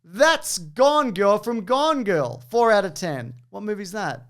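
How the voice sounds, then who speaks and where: A man's voice: sits high, lively intonation, slow. A man hosting a casual quiz, bantering and explaining as he reads out film reviews. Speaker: quiz host.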